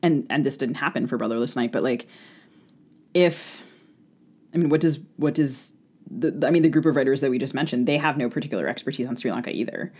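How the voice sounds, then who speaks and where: A woman co-hosting a podcast in casual conversation about books, speaking in a thoughtful, hedging, halting way. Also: a bass voice, a little mellow, husky. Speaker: podcast co-host and novelist.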